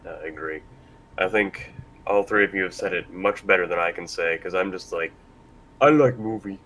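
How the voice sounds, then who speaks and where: A man's voice: normal speed, a bit bright, low-quality recording. A man hosting a casual panel discussion, speaking in a hesitant, self-deprecating way. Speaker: panel moderator. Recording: constant rumbling background noise.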